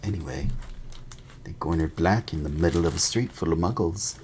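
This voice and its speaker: A man voicing a chatty young male bus conductor, loud and eager in his gossip. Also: sits low, a little hiss or hum, close to an everyday voice. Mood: gossipy. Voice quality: loud, eager, talks in rapid bursts.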